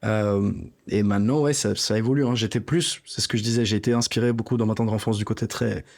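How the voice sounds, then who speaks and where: A man's voice: fairly low pitch, fairly even intonation, fast, darkish tone. A man in his thirties from Belgium, a Greek speaker, chatting casually as a rapper in an interview. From Belgium, Greek parents.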